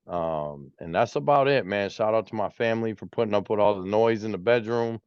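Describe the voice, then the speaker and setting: A man around forty with a lowish voice, easygoing and unhurried as he chats. The sound is muffled and lo-fi, with a dry, close room and almost no echo. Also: clean tone. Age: 40s. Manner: laid-back, drawled shout-outs, tag "man" and "you know what I mean". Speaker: music producer, guest. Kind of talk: casual interview chat.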